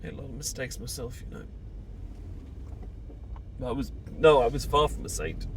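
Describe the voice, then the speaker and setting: A man with a British accent playing a heartbroken thirty-something leaving an abusive partner, talking in a halting, self-deprecating, confessional way in an intimate roleplay. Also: medium pitch, lightly acted. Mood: regretful, resigned. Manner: halting, self-deprecating, rambling with sighs and nervous laughs. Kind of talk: intimate ASMR boyfriend roleplay, confessional monologue. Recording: traffic and outdoor noise behind voice. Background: passing vehicles, outdoor ambience.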